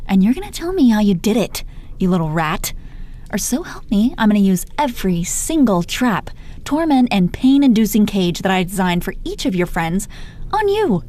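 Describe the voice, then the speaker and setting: Mellow, taunting supervillainess, acted by a woman. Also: mid-range voice, big pitch swings, normal speed. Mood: menacing anger. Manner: taunting, condescending pet names, heavy swearing. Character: gloating menacing female supervillain, sardonic and exasperated. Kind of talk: dramatic roleplay audio monologue.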